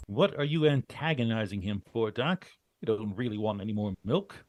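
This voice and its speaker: An actor playing a stern, commanding team leader with clipped delivery in an old-time radio drama. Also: male voice, on the low side, expressive, normal speed, no room sound, close to an everyday voice. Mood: exasperated, stern. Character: stern, no-nonsense male adventurer, team leader. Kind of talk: 1940s radio acting.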